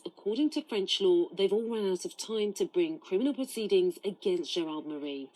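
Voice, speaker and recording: A woman's voice: on the low side, darkish tone, rough recording. A woman narrating a scripted television news report as a correspondent.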